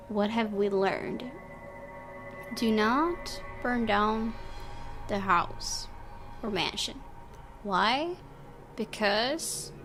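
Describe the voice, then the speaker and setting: Slow-talking young woman gamer. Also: fairly high pitch. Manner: emotional, reacts loudly and talks to herself while playing. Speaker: gaming YouTuber. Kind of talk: let's play commentary. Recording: music playing from the game behind.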